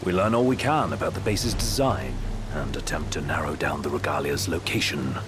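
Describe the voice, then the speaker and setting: Deep-voiced, precise strategist. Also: male voice. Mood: calm, calculating. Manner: formal, measured, articulate. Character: composed, precise young male royal strategist. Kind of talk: video game cutscene acting. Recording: music under the voice.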